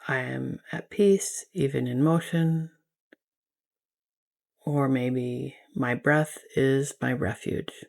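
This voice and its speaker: A woman leading a guided yoga nidra meditation as a teacher, giving gentle, soothing instructions. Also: very deep voice, steady tone, slow pace, vocal fry.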